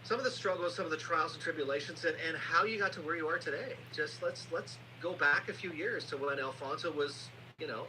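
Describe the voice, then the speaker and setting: A man's voice: on the high side, brisk, hardly any pauses, pushed and bright. A man hosting a podcast, introducing and questioning his guest in a conversational way. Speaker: podcast host. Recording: noisy, humming live stream audio.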